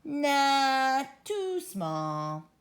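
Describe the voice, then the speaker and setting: A woman's voice: high-pitched, big pitch swings, extremely slow, pitched above the performer's own voice, full character voice. A woman voicing a brash female doctor who is eager to give needles, speaking in a blunt, emphatic way.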